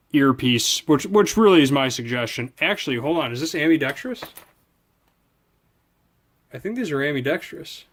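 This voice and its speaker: A man doing a casual, unscripted product review, rambling informally and thinking aloud as he handles things. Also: a little high. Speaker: product reviewer hosting a video channel. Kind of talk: casual unscripted unboxing chat.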